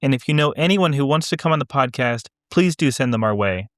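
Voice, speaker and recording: A man's voice: medium pitch, expressive, fairly quick, fairly bright tone, dry recording, studio quality. A man co-hosting a podcast who reads out announcements and asks long, carefully framed questions. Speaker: podcast co-host.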